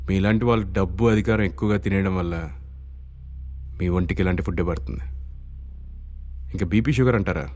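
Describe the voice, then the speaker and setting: Actor as low-voiced, sardonic, calm kidnapper. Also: male voice, natural voice. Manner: mock-polite, deadpan, reasoning. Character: calm, sardonic male everyman kidnapper making demands. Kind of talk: Indian film drama dialogue. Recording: film soundtrack with faint music.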